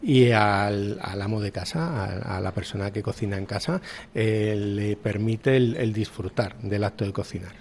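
A man's voice: fairly low pitch, moderate pace, creaky, slight background noise. Hesitant male chef. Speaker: professional chef, guest. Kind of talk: interview answers.

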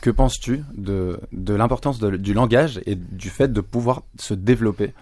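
Man from spain interviewing in french, lowish voice, mellow, dry close recording, hesitant phrasing. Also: dry recording. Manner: measured questions with frequent hesitations. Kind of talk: festival interview.

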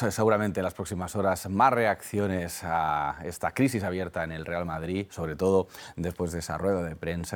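A man presenting the news as anchor, with a steady, smooth delivery. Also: sits low.

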